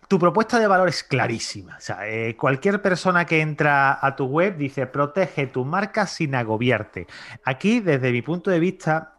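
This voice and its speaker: A man's voice: mid-range voice, fairly bright tone. Chatty Spanish man hosting a podcast. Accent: Spain Spanish. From Spain. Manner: informal, chatty, repeats and rephrases himself. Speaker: podcast host. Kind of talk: casual conversational interview.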